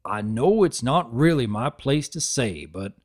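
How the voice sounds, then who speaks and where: A woman's voice: rumbling low, very animated, a little mellow, full character voice. Woman doing a shy, folksy farm stallion. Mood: hesitant. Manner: hesitant, folksy, halting. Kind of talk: dramatic audiobook reading.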